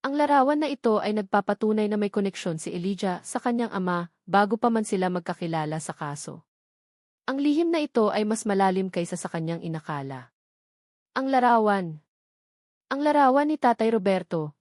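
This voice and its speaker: A woman's voice: mid-range voice, expressive, brisk, darkish tone, dry recording. Woman narrator, dry close sound. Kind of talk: scripted dramatic love story narration.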